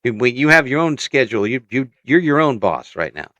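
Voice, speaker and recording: A man's voice: mid-range voice, big pitch swings, brisk, fairly bright tone, no echo, highs cut off. A man hosting a casual interview podcast, chatting in an encouraging way.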